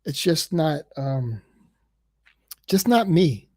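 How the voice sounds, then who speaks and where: A man's voice: on the high side, big pitch swings, takes it slowly, mellow, breathy edge. A man hosting a casual livestream, rambling and repeating words, and he says he stutters. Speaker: livestream host.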